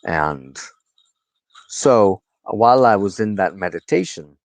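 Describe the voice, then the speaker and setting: A man giving a rambling, informal monologue to his viewers in a halting, reflective manner, often pausing mid-sentence. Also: lowish voice, expressive, no room sound. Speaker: host of a personal spiritual channel, wand crafter.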